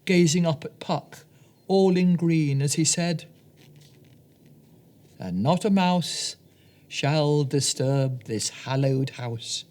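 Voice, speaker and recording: A woman narrating a scripted children's story as a storyteller, reading gently and deliberately. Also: low-pitched.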